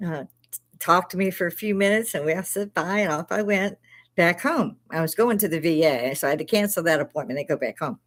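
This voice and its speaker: An older woman with a Chicago accent tells rambling stories on her live stream. Her voice sits a little low, and she laughs often and swears freely. She keeps it casual and chatty. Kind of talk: casual storytelling chat.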